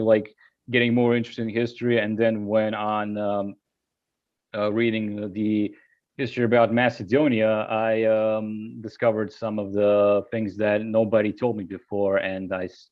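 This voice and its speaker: Guy, lowish voice, keeps saying um, muffled lo-fi audio. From Serbia. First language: Serbian. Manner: hesitant, many filler words. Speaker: guest. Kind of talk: casual interview answers.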